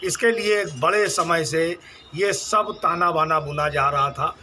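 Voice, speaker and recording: A high-pitched man gives a spoken reaction to reporters. He argues haltingly, circling back over his phrases, with clear ambient noise around him. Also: sharp tone. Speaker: interviewee giving reaction. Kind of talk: spontaneous political reaction to press.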